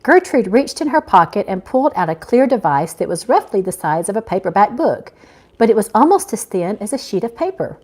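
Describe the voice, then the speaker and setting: A woman author reading her own novel aloud in expressive scripted narration. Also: medium pitch, a little fast, husky.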